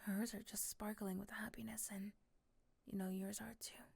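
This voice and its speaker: A woman narrating a scripted ASMR romance in the second person, softly and often dropping to a whisper. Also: medium pitch, level tone, normal speed, creaky, breathy edge, dry recording.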